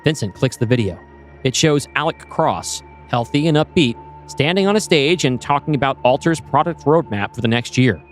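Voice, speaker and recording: Expressive male screenplay narrator, lively pitch. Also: normal speed, soft background music. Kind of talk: scripted screenplay narration, present tense.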